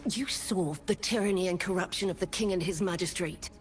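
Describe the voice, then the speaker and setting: An actress playing a resolute, idealistic leader of rebel survivors in a fantasy video game, speaking with earnest conviction. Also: female voice, lowish voice, brisk, lightly acted. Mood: regretful, earnest. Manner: earnest, impassioned. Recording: music behind.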